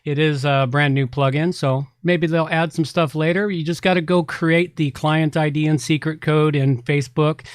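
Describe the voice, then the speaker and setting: Man, slightly high voice, folksy podcast host, casual chatty reviews, very dry recording. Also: steady tone, normal speed, few pauses, dry recording. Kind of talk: casual product review talk.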